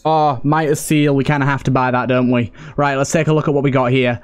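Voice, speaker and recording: A man's voice: mid-range voice, fairly even intonation, a little fast, clear, resonant tone. A man hosting a let's play, chatting casually and thinking aloud as he goes. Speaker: gaming video host.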